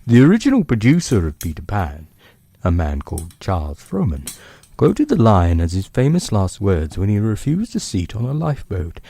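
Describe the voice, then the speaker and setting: A man with a low-pitched, mellow voice narrates like a bedtime storyteller. He takes his time, lingering on phrases with dramatic pauses. His delivery has a husky edge. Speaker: podcast host and storyteller.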